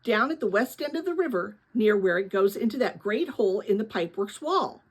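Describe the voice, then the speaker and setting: Woman voicing an excited, urgent young boy, animated. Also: medium pitch, a little fast, breathy edge. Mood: eager, impatient. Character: excited, impatient, breathless boy on an adventure. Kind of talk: storybook read-aloud character voice.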